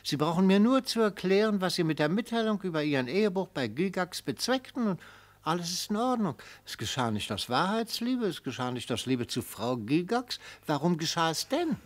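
A man's voice: sits high, very animated, fairly quick. An actor playing a retired prosecutor in a radio play, theatrical and silky, mockingly affable as he probes and savours each phrase. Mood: probing. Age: older. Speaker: prosecutor in a mock trial. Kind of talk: German radio play acting.

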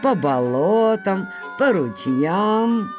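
A woman telling a scripted folk tale in an expressive, folksy, measured storytelling manner. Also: mid-range voice, music in the background.

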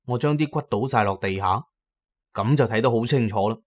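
A man's voice: mid-range voice, a little fast. A man with a Hong Kong Cantonese accent narrating an adventure story in the first person, as in an audio drama.

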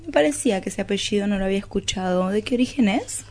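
A woman's voice: medium pitch, animated, quick pace, a little mellow. An Argentine woman playing a snobbish, meddling mother who dotes fussily in a radio play. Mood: curious, snobbish. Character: snobbish, meddling middle-class mother of grown daughter.

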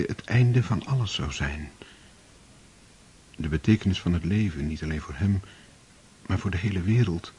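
A man narrating a radio play in a steady, measured storytelling manner. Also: on the low side, slightly rough. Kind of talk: scripted narration.

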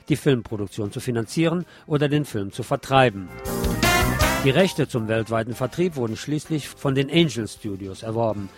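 A man reading scripted film commentary as host of an alternative radio programme. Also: mid-range voice.

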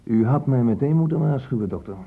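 An actor in a Dutch radio play voicing a blunt, sardonic police inspector with a brusque, interrogating way of talking. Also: male voice, medium pitch, lively intonation, fast, creaky, slightly rough. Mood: sardonic, impatient. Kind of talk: Dutch radio drama acting. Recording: muffled phone-like old radio recording.

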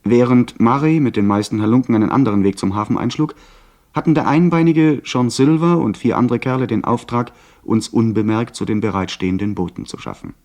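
An actor playing a young gentleman who narrates an adventure tale in a radio play. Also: mid-range voice, brisk, natural voice. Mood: grave, recounting. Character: young gentleman narrator recounting his abduction by pirates. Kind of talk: scripted dramatic narration, 1970s radio play.

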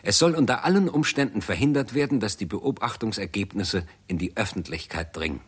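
An actor narrating a 1960s German radio play in a sober, formal, report-like manner. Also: male voice, lowish voice, brisk, husky. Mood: sober, factual.

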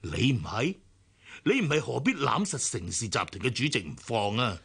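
A male actor playing a cynical, gloating, ruthless villain in a radio drama, with a husky, gravelly voice and a Hong Kong Cantonese accent. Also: mid-range voice, big pitch swings, normal speed, a bit bright, heavily acted. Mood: menacing, taunting. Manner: sneering, taunting.

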